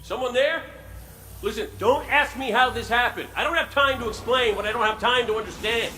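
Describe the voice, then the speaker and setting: High-pitched frantic robot gunslinger. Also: male voice, bright, forceful tone, a little hiss or hum, poor audio. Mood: urgent, agitated. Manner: rambling, hurried, self-interrupting. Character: frantic wisecracking male robot gunslinger, cocky, impatient. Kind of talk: video game voice acting.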